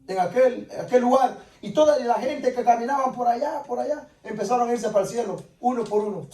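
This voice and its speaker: A man's voice: very high-pitched, a little mellow. A man preaching a sermon as dramatic personal testimony, repeating his phrases with insistent emphasis. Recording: echoey room, distant microphone.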